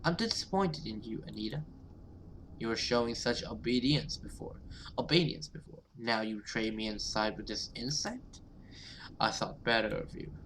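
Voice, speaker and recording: A man's voice: lowish voice, expressive, moderate pace, a bit bright, a little hiss or hum, low-quality recording. A man voicing a sinister, contemptuous villain woman in a horror visual novel. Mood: cold disappointment.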